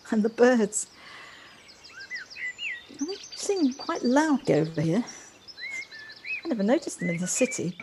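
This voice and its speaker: A woman's voice: fairly high pitch, darkish tone, full character voice. A woman acting in an audio play as an anxious, polite newcomer to the countryside, speaking in hesitant, formal, wordy sentences. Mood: contented. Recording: home recording on personal device, noisy.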